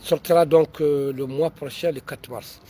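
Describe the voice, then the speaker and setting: A Mauritanian writer with an accent, French not his first language, answering audience questions in a halting way with many fillers and restarts. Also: fairly high pitch, steady tone, warm tone. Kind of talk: unscripted answers to online questions.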